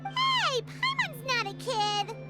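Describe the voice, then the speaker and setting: A tiny, excitable companion creature chirps in a piercing, very high register that leaps and swoops with every question. She is nosy and bouncy, referring to herself by name. Game music plays softly behind. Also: slow pace, heavily acted. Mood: indignant. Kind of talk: video game voice acting.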